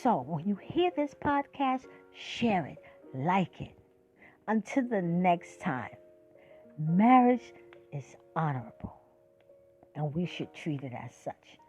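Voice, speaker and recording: Passionate sixty-year-old woman, lively pitch. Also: slow, pauses often, faint music behind. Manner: emphatic, preachy, lively rises and drops. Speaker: podcast host. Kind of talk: passionate monologue.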